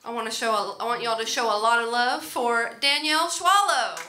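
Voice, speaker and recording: A woman's voice: on the high side, fairly quick. A woman hosting a live comedy show, introducing acts from the stage. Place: indoor comedy club stage. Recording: noisy, echoey live room.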